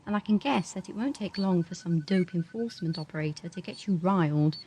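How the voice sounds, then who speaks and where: A woman playing a brisk, sardonic space station doctor in an audio drama, dry and assured. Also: lowish voice, dark tone, lightly acted. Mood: stern. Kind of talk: radio drama acting. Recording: hissy amateur 1980s audio drama tape.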